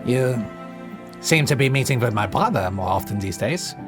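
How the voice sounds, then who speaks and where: A man playing a suave, possessive vampire husband who speaks in smooth, courtly endearments. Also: medium pitch, expressive, normal speed, over music, close to an everyday voice. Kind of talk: audio drama acting.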